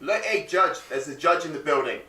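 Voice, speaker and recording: A man rushing through an action movie remake in an improv scene, narrating and acting it out in a frantic, jokey way. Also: fairly high pitch, animated, pushed and bright, slight background noise. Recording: echoey room, far from the mic.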